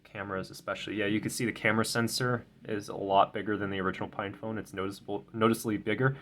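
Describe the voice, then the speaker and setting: A man's voice: lowish voice, level tone, very fast, a bit bright, slight background noise. A young man, tired and a bit stuffy, chatting casually and rambling with many restarts while unboxing a phone at his desk. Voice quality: slightly nasal. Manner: rambling, self-correcting, many ums and stumbles. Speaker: tech video creator. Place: desk at home, indoors.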